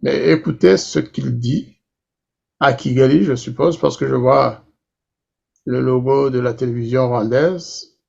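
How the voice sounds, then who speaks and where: A man's voice: medium pitch, fairly even intonation, a little slow, vocal fry, darkish tone, slightly rough, highs cut off. A man hosting a political interview, asking long probing questions with many hesitations. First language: not English. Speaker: interviewer, talk show host.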